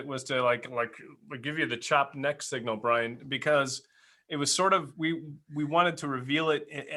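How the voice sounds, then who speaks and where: Male podcast co-host, bright punchy voice, mid pitch, casual banter, jokey, teasing.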